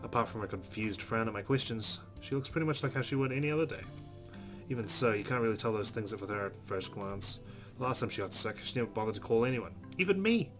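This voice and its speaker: A man reading visual novel narration aloud as a streamer, stumbling now and then and dropping in amused asides. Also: mid-range voice. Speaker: let's play streamer. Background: guitar music from the game.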